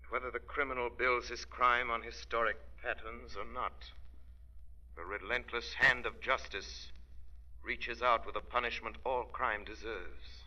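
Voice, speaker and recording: An actor in an old radio drama playing a stern, commanding crime fighter with a declamatory, authoritative manner. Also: male voice, medium pitch, steady tone, a little slow, bright, forceful tone, lo-fi sound. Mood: grave, solemn. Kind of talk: 1930s-40s radio drama acting.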